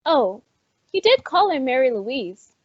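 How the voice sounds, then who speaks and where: A teasing teenage friend as a woman plays it in an old-time radio play. The voice is high and animated, with a wisecracking edge, on a slightly dull recording. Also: unhurried, slightly rough, no echo, exaggerated voice.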